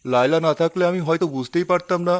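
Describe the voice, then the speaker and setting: A man voicing a calm, kind, well-off father in a cartoon, speaking gently. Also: on the high side, very fast, lightly acted. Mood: gentle. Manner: gentle, soft-spoken. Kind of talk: cartoon voice acting.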